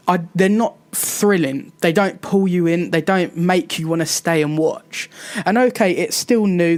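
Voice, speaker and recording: A British man hosting a casual football chat show, who often chips in short agreements and leads the topics. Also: a little high. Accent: British English. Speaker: show host.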